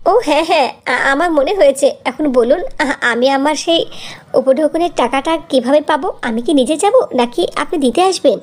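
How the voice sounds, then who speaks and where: A woman voicing a gentle, mistreated young stepdaughter in a fairy-tale cartoon, speaking in a plaintive, polite and earnest way. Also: sits high, moderate pace, close to an everyday voice. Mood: surprised, hesitant.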